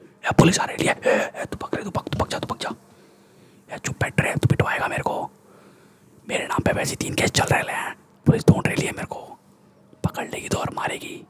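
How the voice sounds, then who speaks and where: A man's voice: normal speed, pauses often, natural voice. Man acting a whispering Mumbai street gangster. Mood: nervous. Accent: Mumbai Hindi street slang. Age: young adult. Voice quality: breathy whisper. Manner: slangy, threatening, boastful. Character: swaggering, bullying male Mumbai street gangster. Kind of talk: whispered ASMR roleplay. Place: small room indoors. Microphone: close desktop condenser microphone.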